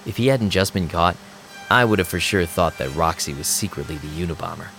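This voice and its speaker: Man as low-pitched wisecracking vampire DJ, music behind. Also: brisk, gravelly, a bit bright. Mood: wry narrating. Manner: casual, slangy, self-doubting. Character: anxious, wisecracking young male vampire DJ. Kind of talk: first-person audio drama narration and dialogue.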